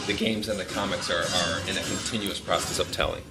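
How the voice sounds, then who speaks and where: A man giving casual, halting interview answers as a game developer. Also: low voice, steady tone, vocal fry, heavy background noise. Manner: halting, hedging with repeated words.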